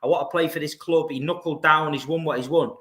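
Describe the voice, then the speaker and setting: A man with a bright, forceful voice hosts a relaxed football podcast. He keeps his questions simple and direct and speaks a little high for a man. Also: fast. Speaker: podcast host. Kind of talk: casual podcast chat.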